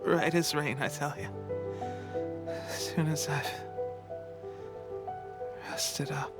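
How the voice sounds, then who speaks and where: An exhausted young male soldier from a video game, earnest and friendly. His voice sits fairly high and moves slowly, halting with weakness while he puts on a brave face. Music plays behind him. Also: fairly even intonation. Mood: fading, reassuring. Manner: halting, weary, friendly, coughing between phrases. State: exhausted and ailing. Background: background music.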